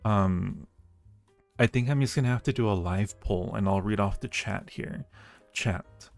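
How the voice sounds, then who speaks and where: A man's voice: lowish voice, unhurried, gravelly. A man hosting a livestream, chatting casually and playfully with viewers and speaking to them affectionately. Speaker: livestream host and audio roleplay creator. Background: background music.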